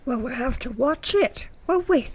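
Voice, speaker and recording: A woman's voice: high voice, animated, moderate pace, a little mellow, slightly rough. A woman playing a pompous, easily affronted middle-aged saleswoman in a radio sitcom, mock-refined with coarse slips. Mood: coy. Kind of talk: radio play sitcom comedy acting.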